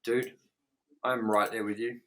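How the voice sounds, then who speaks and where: A man's voice: lowish voice, little pitch movement, a little slow, a bit bright. A man co-hosting a casual movie review chat, rambling emphatically and swearing freely. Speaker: review show co-host.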